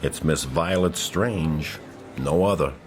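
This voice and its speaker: An actor playing an assured gentleman who runs detective agents in a radio drama, formal and confident. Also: very deep voice, slow, slight background noise.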